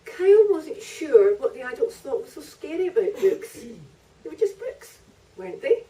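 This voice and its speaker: A woman's voice: high voice, very animated, moderate pace, dark tone, a little hiss or hum. A woman author reading narrative prose from her own book at a live event, picked up by a distant microphone. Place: live festival reading in a library venue.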